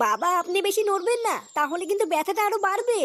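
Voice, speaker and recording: A woman's voice: extremely high pitch, expressive, fast. A woman voicing a dutiful but bossy young village daughter-in-law in a comic cartoon, speaking insistently. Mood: concerned. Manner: scolding, insistent.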